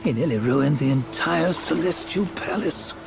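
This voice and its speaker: An actor playing a stern, world-weary old ferryman in a video game, speaking in a measured, formal, disdainful way. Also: on the high side, gravelly, over music. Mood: scornful. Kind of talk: video game cutscene acting, solemn storytelling.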